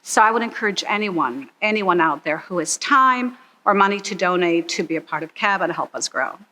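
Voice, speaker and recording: A woman's voice: fairly low pitch, expressive, normal speed, bright, forceful tone, rough recording. A middle-aged woman from Croatia, speaking earnestly as a charity supporter in an interview. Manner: earnest and heartfelt. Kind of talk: interview testimonial.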